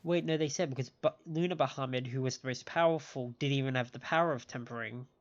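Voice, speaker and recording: A man's voice: mid-range voice, fast talker. A man doing casual live commentary as he plays a video game, sometimes reading dialogue aloud. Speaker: game streamer. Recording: dull, band-limited stream audio. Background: game music.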